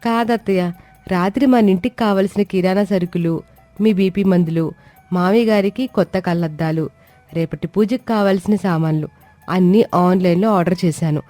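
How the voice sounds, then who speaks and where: A woman performs a young, work-shy but clever daughter-in-law in an animated tale. She reasons and coaxes with cheeky confidence. The voice is close and dry, with music behind it. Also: on the low side, moderate pace, dry recording, natural voice. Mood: pleading, explaining. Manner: pleading yet self-assured explanations. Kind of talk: acted dialogue in an animated moral story.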